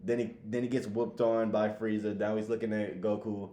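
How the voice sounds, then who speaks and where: A man's voice: on the low side, flat delivery, normal speed, warm tone. A man co-hosting a podcast, giving blunt opinions in casual, slangy, sweary conversation. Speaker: podcast co-host. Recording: echoey room with faint background noise.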